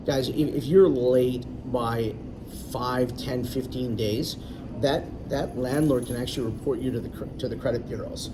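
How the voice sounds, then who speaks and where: A man's voice: medium pitch, steady tone, moderate pace. A man, a loan originator, explaining things in a confident, chatty way as a guest. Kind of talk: casual interview. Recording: distant mic, clear background noise.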